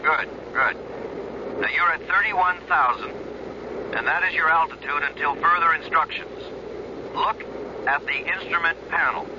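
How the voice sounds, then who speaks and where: A patient colonel acted by a man talks a novice through flying. His voice sits fairly high, reassuring and a little halting, in radio drama style. The sound is dull, with a clear aircraft drone underneath. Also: background noise, natural voice. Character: calm, coaxing air force colonel guiding a novice pilot. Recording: muffled radio recording, aircraft drone behind.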